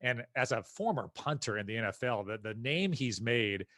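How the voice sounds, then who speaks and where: A man's voice: mid-range voice, expressive, moderate pace, pushed and bright, no room sound. American man, dry close podcast sound. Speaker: podcast guest, company founder and CEO. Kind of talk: casual interview conversation.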